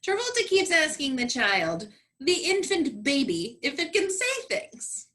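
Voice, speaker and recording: A woman's voice: high-pitched, big pitch swings, unhurried, fairly bright tone. A woman narrating a comedic scripted radio play with sassy, dramatic delivery.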